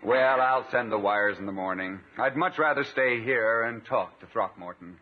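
Actor as husky flattering moocher, old radio. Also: male voice, medium pitch, moderate pace, close to an everyday voice. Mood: ingratiating. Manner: ingratiating, self-important. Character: smooth-talking freeloading brother, self-important, flattering moocher. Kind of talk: 1950s radio comedy acting. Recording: thin, narrow 1950s radio recording.

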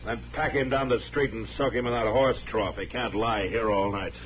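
Guy playing stern old west marshal, american, low firm voice, terse orders, muffled noisy old radio show. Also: fairly even intonation, natural voice. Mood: gruff. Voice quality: deep, firm voice. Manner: terse, authoritative, unhurried commands. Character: stern, steady frontier marshal keeping order. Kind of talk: 1950s radio western acting.

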